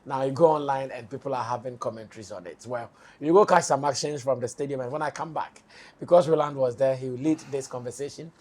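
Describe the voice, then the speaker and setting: A man with a Ghanaian accent hosting a studio talk show, hoarse-voiced, steering the discussion and laughing easily. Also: mid-range voice, quick pace, a little mellow. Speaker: show host.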